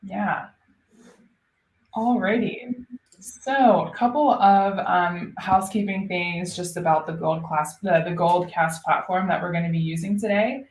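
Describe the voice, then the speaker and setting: A woman's voice: fairly low pitch, unhurried, echoey room, low-quality recording. A woman hosting a live online webinar as a solutions engineer, chatty and upbeat with frequent ums.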